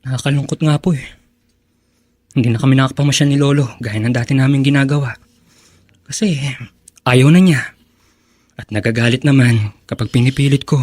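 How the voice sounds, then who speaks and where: A man's voice: mid-range voice, brisk, long pauses, a little mellow, no room sound, lightly acted. An actor playing a polite, earnest young man in a radio drama, a fond and sorrowful grandson. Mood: subdued, sad. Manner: respectful, earnest.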